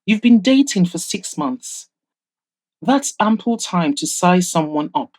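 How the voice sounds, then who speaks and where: Woman acting an excitable, gushing young woman friend. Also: fairly low pitch, slow pace. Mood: frank. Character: Sandra, excitable romantic young woman friend, teasing and frank. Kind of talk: audio drama character dialogue.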